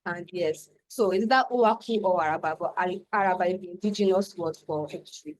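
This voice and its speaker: A Nigerian poet and translator, a woman whose first language is Yoruba, introducing herself softly and hesitantly with many ums on a video call. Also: fairly low pitch, fairly quick, no room sound, rough recording. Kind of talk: introducing herself and reading her translated poem.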